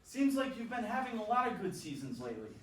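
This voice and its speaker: A man's voice: high-pitched, sing-song, quick pace. A man acting a formally polite, accusing interrogator in a staged play reading. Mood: polite, probing. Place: theatre staged reading, recorded from off. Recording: echoey room, distant mic, background noise.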